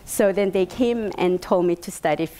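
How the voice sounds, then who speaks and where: A woman's voice: lowish voice, normal speed, a little hiss or hum. A woman in her fifties from South Korea with a Korean accent, giving a personal talk to an audience in a meeting room, hesitant with many fillers. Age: 50s. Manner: hesitant, many fillers, animated hand gestures. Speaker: invited speaker. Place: bright meeting room indoors.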